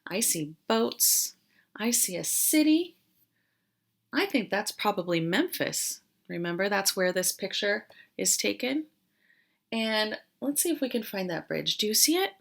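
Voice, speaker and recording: A woman teacher doing an interactive read-aloud for young children, explaining as she goes and pausing after questions. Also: mid-range voice, sing-song, vocal fry. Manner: questioning, explanatory, with pauses for answers.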